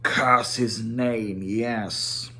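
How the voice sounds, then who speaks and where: A man voicing a proud, grumbling dwarf leader in a storybook reading, gruff and demanding, drawing out his words. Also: lowish voice, fairly even intonation, very slow, pushed and bright, pitched below the performer's own voice, exaggerated voice. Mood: solemn.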